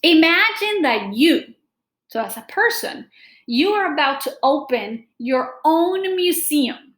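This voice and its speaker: A middle-aged woman reading aloud to students as a teacher, explaining deliberately and addressing them directly in a room at home. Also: high voice, lively intonation, a bit bright, roomy sound. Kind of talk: read-aloud lesson with simple explanations. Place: small room at home, indoors. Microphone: laptop or webcam microphone at desk distance.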